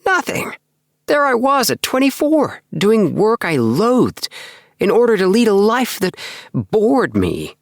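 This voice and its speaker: A man voicing an excitable, earnest young dreamer in an audiobook, with an emphatic, self-satisfied manner. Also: high voice, takes it slowly, vocal fry, dry recording, clean studio sound, voice pushed up, heavily acted. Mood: exasperated, bored. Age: young adult. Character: excitable young dreamer, eager, earnest, obsessed with house plans. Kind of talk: acted audiobook dialogue.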